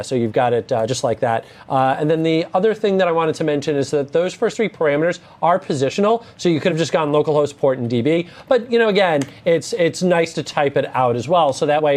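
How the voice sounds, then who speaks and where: A man's voice: fairly high pitch, fast, few pauses, a little mellow. Playful male co-instructor. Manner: playful, hesitant fillers while explaining. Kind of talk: casual co-hosted coding lesson.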